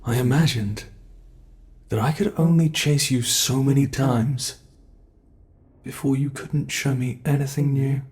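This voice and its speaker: A man playing a sadistic, gloating monster from under the bed in an ASMR horror roleplay, taunting and savoring every word with a gravelly voice. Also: medium pitch, steady tone, a little slow, pauses often, darkish tone, lightly acted.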